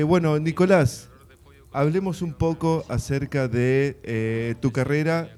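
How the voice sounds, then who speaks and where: Mellow Argentine man hosting radio. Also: mid-range voice. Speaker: radio host and interviewer. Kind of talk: radio interview presenting. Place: radio station session with a present audience.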